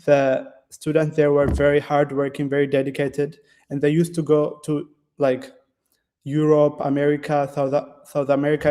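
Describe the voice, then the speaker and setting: Ethiopian man, dark voice, flat delivery. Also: a little high. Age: 20s. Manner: halting, many fillers and restarts, non-native phrasing. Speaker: guest developer. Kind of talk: casual interview answers. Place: classroom.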